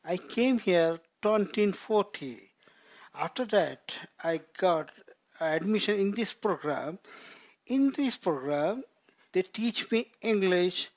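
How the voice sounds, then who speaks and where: Man, slow halting English. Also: sits high, highs cut off. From Pakistan. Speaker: program alumnus.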